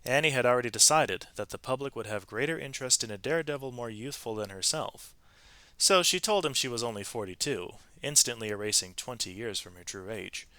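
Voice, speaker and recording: A man narrates a storybook as a read-aloud for students. His voice is bright and forward at a middle pitch, and he leans on key words with expressive phrasing. The sound is dry and close, with little room around it. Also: brisk, no room sound.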